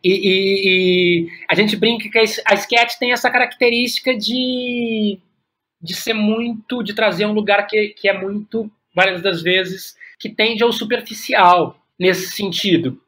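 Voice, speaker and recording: A man's voice: high voice, unhurried, pushed and bright. A man with a Brazilian accent talking reflectively as an interview guest, repeating words as he thinks aloud. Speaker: guest playwright.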